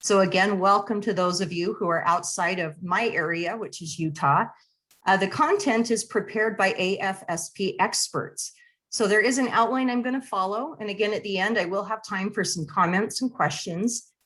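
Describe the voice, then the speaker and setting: A woman presenting a webinar over a video call, speaking in a friendly, conversational way with fillers and restarts, her voice slightly hoarse and clearing her throat. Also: medium pitch, normal speed, fairly bright tone. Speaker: nonprofit area director presenting a webinar. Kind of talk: online presentation to attendees.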